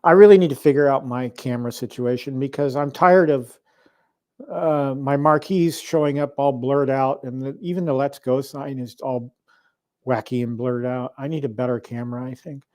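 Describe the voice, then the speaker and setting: A man's voice: mid-range voice, fairly even intonation, normal speed, a little mellow, no room sound, clean studio sound. A middle-aged man hosting a casual livestream from his home arcade room, rambling with many restarts. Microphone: lavalier mic.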